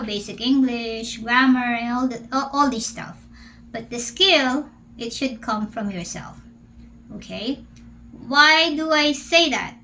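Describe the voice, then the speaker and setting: A Filipino woman who speaks Filipino natively hosts a casual Q&A vlog as an English teacher. She is chatty, keeps asking questions, and speaks in a high, bright voice. She takes her time and often stretches out her words. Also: slight background noise, rough recording. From Philippines. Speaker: English teacher and channel host.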